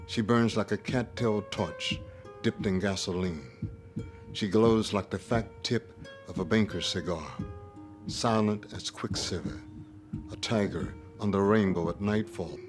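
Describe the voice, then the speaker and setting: A man giving a solemn, measured dramatic reading of poetry. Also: lowish voice.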